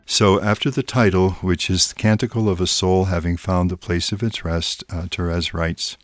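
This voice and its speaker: A man's voice: low voice, steady tone, no room sound, soft background music. A man, a priest, giving a reflective spiritual talk and carefully reading poetry aloud. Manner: careful, reflective, reads verse aloud slowly.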